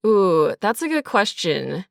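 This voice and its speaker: A woman's voice: medium pitch, sing-song, takes it slowly, creaky, no room sound, clean studio sound. A woman writer explaining her creative process in a casual, reflective interview style. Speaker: writer and creator of an audio drama.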